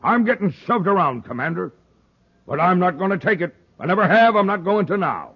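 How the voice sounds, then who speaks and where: Husky old space captain. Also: male voice, high voice, animated, brisk, exaggerated voice. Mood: defiant. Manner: folksy, gruff, chuckling old-timer talk. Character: crusty, independent old space pilot captain. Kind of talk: 1950s radio serial acting. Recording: dull lo-fi vintage radio recording.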